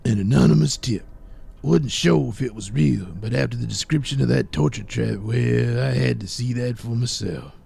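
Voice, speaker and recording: A man voicing a wry, deadpan helper who rescues superheroes in a comic audio cartoon. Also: medium pitch, moderate pace, gravelly, breathy edge, heavily acted.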